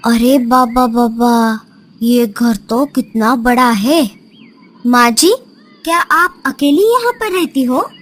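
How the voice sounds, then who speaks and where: A woman voicing a friendly, excitable female bird in a cartoon, with drawn-out, expressive exclamations. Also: fairly high pitch. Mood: exclaiming, eager. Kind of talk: Hindi cartoon character voice. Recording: noisy background.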